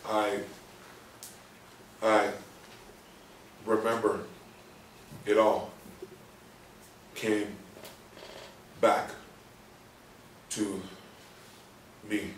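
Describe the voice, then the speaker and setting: An actor playing a subdued, guilt-ridden man recovering from a coma, with halting, effortful speech in a stage play reading. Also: fairly low pitch, flat delivery. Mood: labored, guilty. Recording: roomy echo, distant mic, faint noise.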